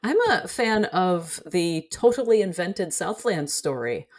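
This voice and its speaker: A woman's voice: on the low side, animated, measured pace. A woman speaking as a guest in a casual panel discussion, analytical and articulate like a scholar explaining her field. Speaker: podcast guest, literature scholar.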